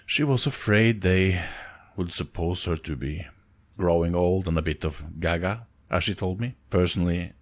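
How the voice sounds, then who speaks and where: Low-voiced Norwegian man reading accounts. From Norway. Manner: unhurried, halting in asides, chuckles at own slips. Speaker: narrator of UFO reports. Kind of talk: reading accounts aloud with casual asides.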